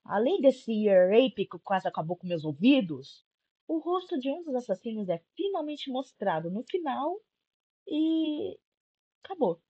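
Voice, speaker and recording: A young Brazilian woman hosts a casual commentary video. Her voice is husky and swings widely in pitch as she talks in an informal, sarcastic way. The recording is a little dull and lo-fi. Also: sing-song, measured pace, mellow. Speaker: YouTube commentary host. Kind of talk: casual video-essay commentary recapping a horror series.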